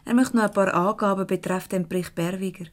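An actress with a Swiss accent playing a chatty, easily exasperated young secretary in a radio comedy. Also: female voice, mid-range voice, fairly even intonation, fast, lightly acted. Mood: businesslike.

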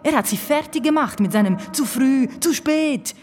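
An actress playing a caring, outspoken orchestra colleague who speaks emphatically in a radio drama. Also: female voice, sits high, animated, normal speed, a little mellow. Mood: indignant. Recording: reverberant room with hum.

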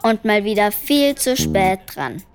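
A woman's voice: medium pitch, big pitch swings, measured pace. A woman playing a clever, scheming schoolgirl who narrates a children's radio play in a wry, know-it-all, matter-of-fact way. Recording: music behind the voice.

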